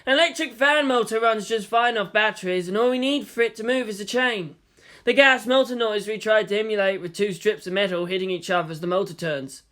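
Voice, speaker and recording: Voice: mid-range voice, brisk, fairly bright tone, voice pushed up, close to an everyday voice. A narrator voicing an easygoing model maker who explains in a practical, matter-of-fact manner.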